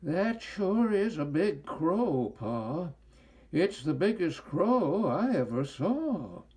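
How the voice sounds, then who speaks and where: Man as country farmer's wife, storybook, homely, folksy, husky, lilting pitch. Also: very animated, takes it slowly, a little mellow, full character voice. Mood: amazed.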